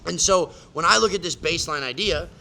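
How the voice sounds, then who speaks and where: A man's voice: a little high, brisk, vocal fry, bright, forceful tone. A man coaching a client in a casual, emphatic way, full of "like" and restarts. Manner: casual, filler-heavy, emphatic explaining. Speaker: business coach. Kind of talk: live coaching session.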